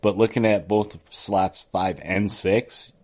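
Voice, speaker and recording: A man's voice: sits low, steady tone, slow pace, darkish tone. A man co-hosting a podcast in casual chat, teasing and reacting to his fellow speakers. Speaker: podcast co-host.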